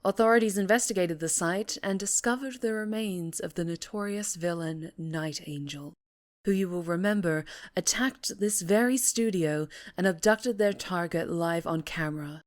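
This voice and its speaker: A woman's voice: lowish voice, normal speed, lightly acted. A woman playing a composed, solemn TV news anchor in an audio drama, speaking formally with dramatic emphasis. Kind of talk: scripted news broadcast acting in audio drama.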